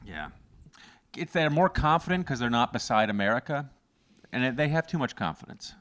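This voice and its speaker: A smooth, resonant-voiced man chats casually as a co-host. He tells stories with lots of fillers and suddenly leaps into loud, high exclamations for effect. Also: moderate pace. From Canada. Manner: animated storyteller, bursts into loud high exclamations and sung bits. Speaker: podcast co-host. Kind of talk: casual chat.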